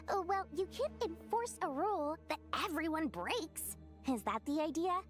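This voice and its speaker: A woman's voice: extremely high pitch, very animated, a little slow, over music, heavily acted. A woman voicing a small, theatrical, money-minded female merchant in a video game, gushing and wheedling with exaggerated delivery. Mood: incredulous.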